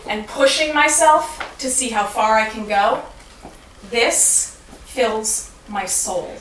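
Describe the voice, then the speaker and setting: A woman delivering a rhyming spoken-word monologue in a dramatic, deliberate storytelling manner. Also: fairly high pitch. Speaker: monologue performer. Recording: echoey, noisy room from far off.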